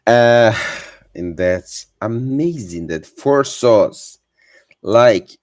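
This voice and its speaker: Guy speaking english slowly, lots of uhs, dry close sound. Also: mid-range voice, expressive, a little mellow, dry recording. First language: Portuguese. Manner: hesitant, many fillers and self-corrections, encouraging. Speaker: vlogger sharing language tips. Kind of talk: casual unscripted talk to camera.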